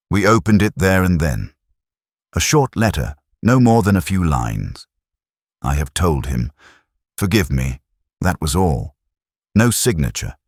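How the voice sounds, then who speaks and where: A man reading a scripted first-person detective story in a measured, literary and reflective manner. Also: very deep voice, dry recording, studio quality. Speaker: narrator.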